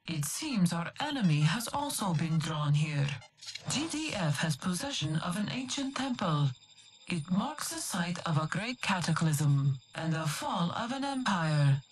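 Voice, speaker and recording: Low, expressive female military briefer. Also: a little slow, a little hiss or hum, poor audio. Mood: formal, serious. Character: female military briefer relaying enemy movements and orders. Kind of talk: dramatic video game briefing narration.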